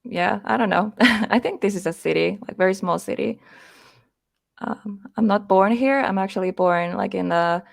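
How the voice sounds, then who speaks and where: A woman's voice: medium pitch, normal speed, creaky, no echo, clean studio sound. A young woman from Finland with a Finnish accent, a guest chatting casually and hesitantly, with many fillers. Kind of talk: casual interview chat.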